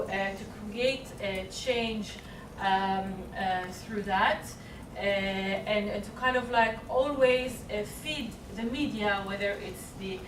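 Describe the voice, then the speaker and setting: A woman presenting at a conference panel, speaking haltingly with many fillers and restarts. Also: medium pitch. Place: conference hall. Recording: echoey room, far mic, noisy.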